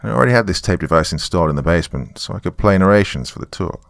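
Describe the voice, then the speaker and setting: A man's voice: low-pitched, animated, very fast, gravelly, no room sound. A man voicing a weary, sardonic small-town man, rambling wryly through a taped confession in a horror story. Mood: wry, flustered. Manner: rambling, wry, colloquial. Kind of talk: dramatic monologue as a taped confession.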